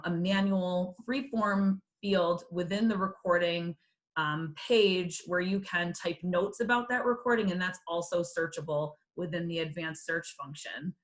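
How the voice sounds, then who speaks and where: A woman's voice: fairly low pitch, a bit bright. A woman presenting in a webinar, explaining features in a business-like way.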